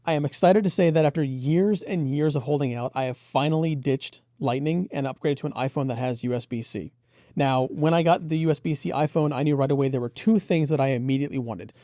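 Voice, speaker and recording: Mellow-voiced man presenting gear. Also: on the high side, brisk, no room sound, clean studio sound. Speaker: product reviewer and presenter. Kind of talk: enthusiastic product review, explanatory.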